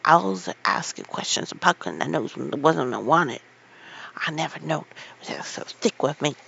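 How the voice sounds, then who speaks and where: A reader putting on an old Yorkshire gardener, gravelly, shouting, sharp and bright, muffled recording. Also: extremely high pitch, huge pitch swings, a little slow, voice pushed up, heavily acted. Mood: raging. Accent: Yorkshire dialect put on. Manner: shouting, ranting. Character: furious old Yorkshire gardener, male, gruff.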